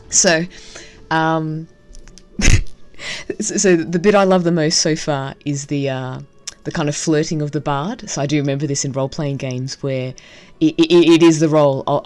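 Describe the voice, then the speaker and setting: A woman's voice: low-pitched, darkish tone. A woman giving casual, enthusiastic first-impressions commentary on a game as she plays. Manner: gushing, enthusiastic. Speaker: game streamer reviewing a visual novel.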